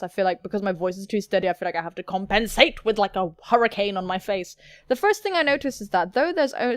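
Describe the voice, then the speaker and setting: A woman's voice: medium pitch, no echo, clean studio sound. A woman hosting gaming videos, reading a visual novel aloud with playful, theatrical commentary and casual swearing. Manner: expressive, theatrical, jokey swearing.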